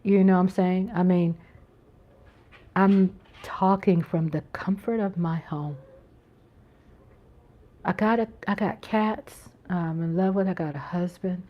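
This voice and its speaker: A woman's voice: on the low side, fairly even intonation, slow, pauses often, highs cut off. Rambling, earnest American woman host. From United States. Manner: rambling, earnest, with frequent restarts. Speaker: channel host. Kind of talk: casual live-stream chat. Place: home.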